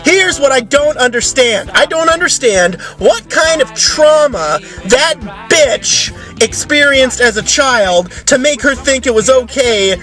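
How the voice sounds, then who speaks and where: Male vlogger, extremely high bright voice, exasperated and sweary, ranting in a car. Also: expressive, a little slow, soft background music. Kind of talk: ranting vlog to camera. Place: inside a parked car. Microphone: camera pointed at himself.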